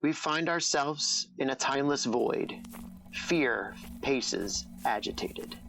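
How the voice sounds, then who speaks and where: A man with a creaky voice narrating an audio drama from a script. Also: medium pitch, lively intonation, slow pace, over music, poor audio.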